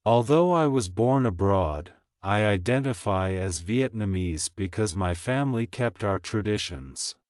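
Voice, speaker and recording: A man reading English practice sentences aloud, slowly and clearly, for learners to repeat. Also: fairly low pitch, no echo, clean studio sound. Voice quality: plain, even reading of each sentence, repeated. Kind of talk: slow, clear scripted reading for shadowing practice.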